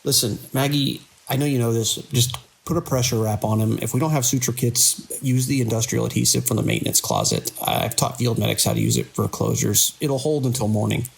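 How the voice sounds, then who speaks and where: A man playing a weary, conscientious hospital director in an audio drama, with a gravelly, husky voice. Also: medium pitch, very animated, fairly quick, few pauses, a little hiss or hum. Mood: weary, firm. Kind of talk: audio drama acting, log dictation and urgent calls.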